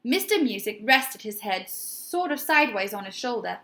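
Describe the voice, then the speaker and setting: A woman's voice: fairly high pitch, big pitch swings, normal speed, fairly bright tone. A woman reading a children's storybook aloud expressively, like a teacher putting questions to young listeners.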